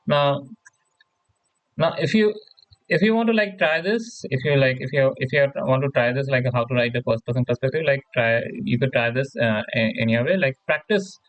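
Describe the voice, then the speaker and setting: A man with a mid-range voice explains material like an informal online tutor. He speaks haltingly, circles back over his phrases and keeps checking that the listener follows. The sound is dry and close, slightly dull and lo-fi. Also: mid-range voice, no room sound. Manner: halting, repetitive, often seeks agreement with "right?". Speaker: tutorial presenter. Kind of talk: informal lecture explaining slides.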